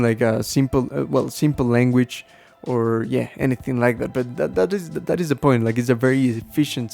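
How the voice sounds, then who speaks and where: A man whose first language is Spanish, explaining things casually and haltingly as a podcast host, thinking aloud as he goes. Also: mid-range voice, gravelly, darkish tone. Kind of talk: casual unscripted explaining to listeners.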